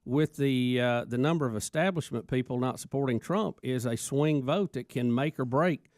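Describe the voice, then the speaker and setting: A man's voice: medium pitch, normal speed, darkish tone, no echo, clean studio sound. A man co-hosting call-in radio, talking in an earnest, opinionated way and repeating words as he goes. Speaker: radio show co-host.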